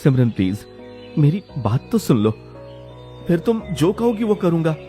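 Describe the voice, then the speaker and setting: A man's voice: on the high side, big pitch swings, normal speed, mellow, soft background music, pitched above the performer's own voice. A man voicing an earnest young man in love, pleading emphatically in cartoon story dialogue.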